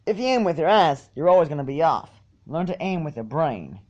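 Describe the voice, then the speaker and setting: A man's voice: fairly high pitch, sing-song, a little fast, darkish tone, highs cut off. Man playing a blunt country mare. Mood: critical, instructing. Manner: instructive, frank, folksy. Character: blunt, teasing, affectionate female farm pony.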